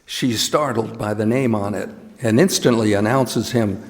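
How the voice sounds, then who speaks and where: A man's voice: lowish voice, moderate pace. A man giving scripted dramatic narration for a radio play, performed live in a hall.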